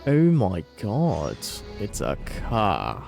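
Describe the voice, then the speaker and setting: A man's voice: low-pitched, wildly swooping pitch, extremely slow, gravelly, a little mellow, muffled recording, faint music behind. A man streaming a video game, chatting casually in a slangy, teasing way. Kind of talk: casual banter over gameplay.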